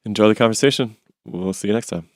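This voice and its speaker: A man's voice: lowish voice, fast, darkish tone, slight background noise. A man hosting a podcast, giving the intro and a listener appeal in an earnest way. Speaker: podcast host.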